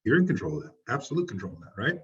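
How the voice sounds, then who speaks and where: A man's voice: on the low side, sing-song, very fast, lo-fi sound. A man leading a real estate training session, encouraging people and asking the group questions. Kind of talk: coaching a group discussion.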